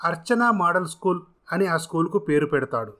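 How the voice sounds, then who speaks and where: A man's voice: fairly high pitch, expressive, brisk, sharp tone. A man narrating a scripted dramatic story as a storyteller.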